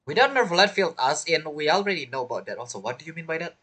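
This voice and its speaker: A man's voice: on the high side, very animated, rapid-fire, bright, forceful tone. A man giving casual, playful reaction commentary on a video game story, chiming in informally.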